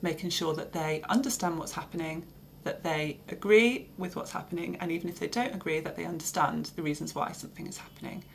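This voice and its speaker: A woman with an English accent speaks steadily and informatively about the service, pausing on the odd filler. Her voice sits a little low and has a gravelly fry to it. Faint room hiss sits under her. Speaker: advocacy service worker. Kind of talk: explaining a service to camera.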